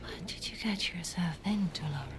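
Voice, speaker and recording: A woman playing an earnest, low-voiced determined ally. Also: fairly quick, noisy background, lightly acted. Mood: concerned. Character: determined woman ally searching for her sister. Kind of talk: video game cutscene acting.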